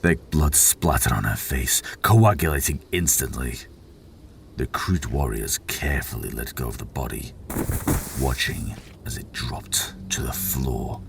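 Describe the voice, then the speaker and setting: Extremely deep, gravelly male narrator. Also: measured pace, noisy background. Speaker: audiobook narrator, voice actor. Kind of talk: dramatic scripted fiction narration. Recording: voice over prominent background music.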